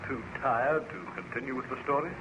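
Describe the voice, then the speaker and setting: An actor playing a polite listener questioning a baron, formal and courteous in an old radio drama style. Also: male voice, mid-range voice, animated, fairly quick, lightly acted. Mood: courteous, inquiring. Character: polite male listener questioning the baron about his story. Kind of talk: 1930s radio drama acting. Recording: muffled, noisy old-time radio recording.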